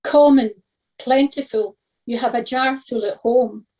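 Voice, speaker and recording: Higher-voiced woman reading a devotional. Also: a little slow, a little mellow. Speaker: scripture meditation reader.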